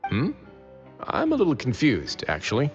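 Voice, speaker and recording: A man acting a composed, wry adult male warden in a video game, speaking in a measured, matter-of-fact way. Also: mid-range voice, huge pitch swings, big-room sound, lo-fi sound, over loud music. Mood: dryly amused.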